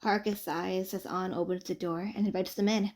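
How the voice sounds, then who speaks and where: A woman reading fan fiction aloud as a narrator, in an expressive audiobook style. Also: mid-range voice, brisk.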